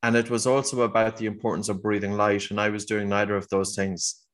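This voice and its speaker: A middle-aged man with an Irish accent answers interview questions in an earnest, explanatory way. His voice sits a little low, and he sometimes restarts a phrase as he thinks. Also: flat delivery, brisk. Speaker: guest, breathing teacher.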